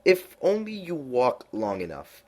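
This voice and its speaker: Sly storybook cat with a slow teasing drawl, put on by a male reader. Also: mid-range voice, wildly swooping pitch, a little mellow, pitched above the performer's own voice, low-quality recording. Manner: slow, drawn-out, playful delivery. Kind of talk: storybook reading.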